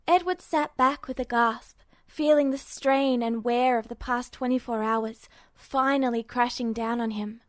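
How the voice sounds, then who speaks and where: A woman with a fairly high voice narrates a story from a script. She reads as an audiobook-style narrator in a close, dry recording. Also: dry recording.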